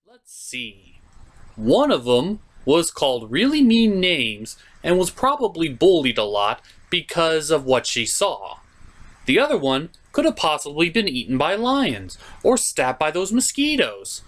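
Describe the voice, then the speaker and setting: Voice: medium pitch, a bit bright. A reviewer giving a scripted comedic movie recap in a sarcastic, mock-exasperated way. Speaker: host reviewer.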